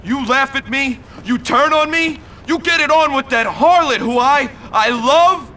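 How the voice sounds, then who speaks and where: An actor playing a hot-headed, threatening high-school gang rival in a video game, aggressive and emotional. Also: male voice, measured pace, bright, forceful tone, slight background noise, full character voice. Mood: enraged. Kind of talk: video game cutscene acting.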